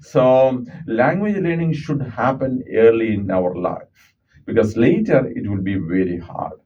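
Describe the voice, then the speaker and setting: Man, Kerala accent, Malayalam first language, earnest explainer, dark mellow voice, distant dull studio mic. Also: medium pitch, animated, measured pace, a little hiss or hum. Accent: South Indian, Kerala. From Kerala, southern India. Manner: earnest, explanatory, many uh and okay fillers. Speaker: audiologist guest. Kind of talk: radio studio interview. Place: radio studio.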